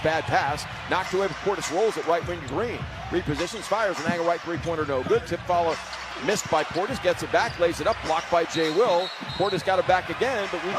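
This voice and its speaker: An American man giving live basketball radio play-by-play in clipped present-tense phrases. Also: high voice. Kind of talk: live sports commentary.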